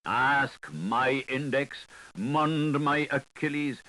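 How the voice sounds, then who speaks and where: A man's voice: medium pitch, drawn-out words, sharp tone. A man voicing a haughty, pontifical animal tyrant, pompous, imperious and declamatory, in an audiobook.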